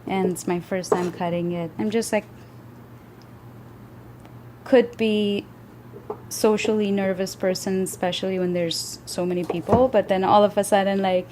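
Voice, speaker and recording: A woman's voice: mid-range voice, fairly even intonation, a little slow, pauses often, warm tone. Hesitant woman in a noisy, clicking kitchen. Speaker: interviewee, house resident. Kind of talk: casual, halting chat. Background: kitchen clicks from chopping.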